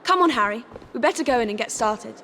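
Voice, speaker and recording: A woman's voice: on the high side, lively intonation, quick pace, fairly bright tone, slight background noise. A woman playing a supportive, spirited teenage schoolgirl in a video game. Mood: encouraging. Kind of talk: scripted cinematic game acting.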